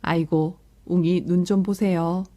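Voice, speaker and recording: A woman's voice: fairly low pitch, steady tone, measured pace, mellow. A woman storytelling teacher reading a picture book to young children, soothing and chatting to them along the way. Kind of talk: picture book read-aloud with commentary to children.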